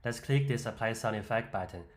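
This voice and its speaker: A man presents a software tutorial in a fairly low voice. He explains the screen demo step by step in an instructional way. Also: a little fast.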